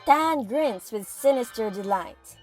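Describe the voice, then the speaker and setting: A woman's voice: on the high side, animated, slow pace. A woman giving vivid, dramatic scripted narration for an audio drama. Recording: music under the voice.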